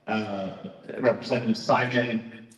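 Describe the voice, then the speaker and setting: A man serving as mayor, welcoming a town meeting in a halting way, with many fillers and restarts. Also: mid-range voice, steady tone, dull audio. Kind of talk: public welcome speech. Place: large hall at a town meeting. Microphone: microphone that fails partway. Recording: echoey hall, voice from far off.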